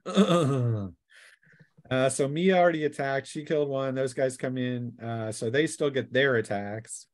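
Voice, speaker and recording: A man with a medium-pitched voice runs a tabletop role-playing game. He narrates combat and calls for dice rolls in an informal, conversational way, talking directly to his players as game master. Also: measured pace, no room sound.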